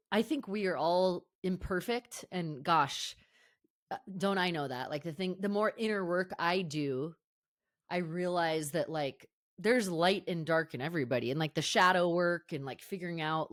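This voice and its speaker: A woman hosting a podcast interview, talking casually with many fillers like "like" and "you know". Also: lowish voice. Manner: chatty, warm filler-heavy, lots of "like". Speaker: podcast host.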